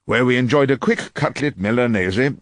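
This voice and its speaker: An actor playing a wry, irascible veteran English barrister, narrating and questioning witnesses in a dry, theatrical radio drama manner. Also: male voice, mid-range voice, normal speed, lightly acted. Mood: wry narration.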